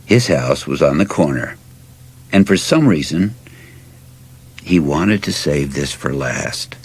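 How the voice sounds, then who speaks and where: A man narrating a story in a measured, reflective, dramatic style with a husky voice. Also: very deep voice, lively intonation, darkish tone. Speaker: audiobook narrator.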